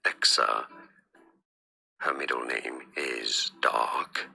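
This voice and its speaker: Very deep, gravelly, monotone man. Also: very slow. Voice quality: monotone, boring-sounding. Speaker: narrator of a played online video. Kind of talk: explainer video narration.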